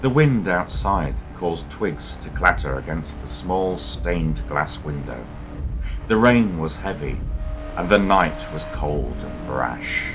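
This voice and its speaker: A man narrating a dramatic scripted story in a measured, portentous manner. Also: very deep voice, animated. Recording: voice over music.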